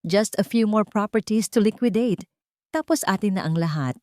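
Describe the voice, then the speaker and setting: Woman voicing a smooth deceitful Filipino husband. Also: medium pitch, normal speed, warm tone, no room sound, studio quality, pitched above the performer's own voice, natural voice. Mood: scheming, confident.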